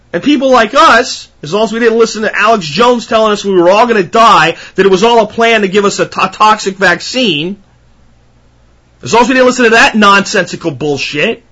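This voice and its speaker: A man's voice: high voice, sharp tone. A man in his thirties hosting a solo podcast, talking in an emphatic, ranting way that repeats points for effect. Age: 30s. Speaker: podcast host.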